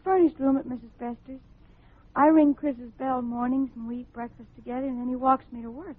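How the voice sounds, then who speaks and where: An actress playing an anxious, loyal young sweetheart in an old radio drama, speaking in an earnest, hesitant way. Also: female voice, high voice, fairly even intonation, brisk. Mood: sheepish. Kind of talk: 1950s radio drama acting. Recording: muffled phone-like vintage radio recording.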